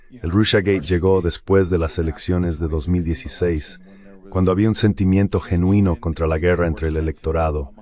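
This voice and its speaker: A man delivering a dubbed political panel commentary as a voice-over, arguing in a measured, articulate way. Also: sits low, darkish tone.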